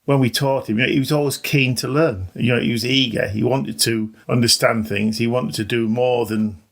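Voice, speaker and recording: A middle-aged man talks in a mid-range voice with noticeable fry. He is a modest guest, conversational and slightly hesitant in how he answers. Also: mid-range voice, steady tone, moderate pace. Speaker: interview guest, maths teacher. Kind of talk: casual conversation.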